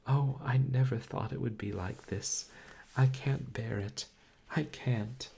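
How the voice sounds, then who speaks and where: A man's voice: mid-range voice, slow pace, creaky, warm tone, a little hiss or hum, voice pushed up. A man reading an audiobook, voicing a passionate young woman who pleads and then breaks into sobs. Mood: sobbing.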